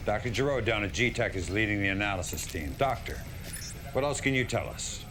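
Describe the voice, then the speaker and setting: A man's voice: on the low side, animated, brisk, background noise, natural voice. An actor playing a grave, seasoned general in a game cutscene, briefing his commander with direct authority. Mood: alarmed, commanding.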